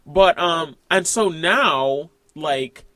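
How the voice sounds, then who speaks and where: Bright-voiced man from the Bahamas, reflective. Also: fairly high pitch, animated, dry recording. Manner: reflective, halting, many restarts and fillers. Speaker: podcast co-host. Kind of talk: casual conversational film discussion.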